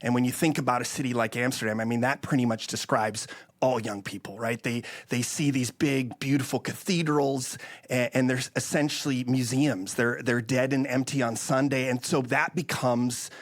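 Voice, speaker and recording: A man's voice: medium pitch, steady tone, normal speed. A man from the Netherlands telling his story as an interview guest, with earnest, emphatic delivery and a preaching bent. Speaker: interview guest, missions organization leader. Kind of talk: conversational testimony and preaching.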